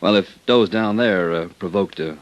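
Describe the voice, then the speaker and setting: An actor playing a steady, cautious chief inspector, understated and hesitant, in a 1950s radio drama. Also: male voice, sits low, normal speed, dull audio, close to an everyday voice. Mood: wary. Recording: vintage radio drama recording.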